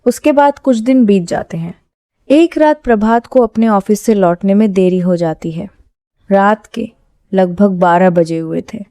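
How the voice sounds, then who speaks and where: Female narrator for scripted horror stories: warm, dark timbre, very dry close recording. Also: mid-range voice, a little slow, dry recording.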